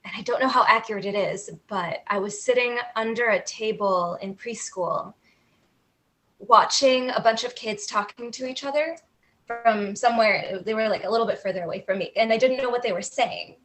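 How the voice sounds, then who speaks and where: A woman guest on an interview, answering in a thoughtful, wry, soft-spoken way. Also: on the high side, moderate pace, low-quality recording. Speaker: guest author.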